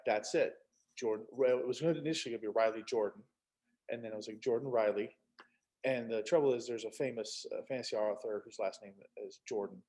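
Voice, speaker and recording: Male author guest, medium pitch, casual anecdotal chat with a joking, self-deprecating streak. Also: a little mellow.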